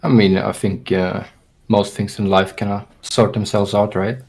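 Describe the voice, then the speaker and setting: A man's voice: fairly low pitch, flat delivery, normal speed, vocal fry, a little mellow. A young German-born man raised in Sweden, a fitness coach chatting casually, hesitant and restarting his sentences, with a German and Swedish accent. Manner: hesitant, restarting thoughts, talks it through. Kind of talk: casual podcast chat.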